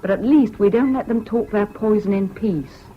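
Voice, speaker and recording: A woman's voice: mid-range voice, moderate pace, natural voice. An actress playing an earnest, sardonic young anti-fascist activist woman with an English accent, in 1970s radio drama style. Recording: muffled old radio recording, faint hiss.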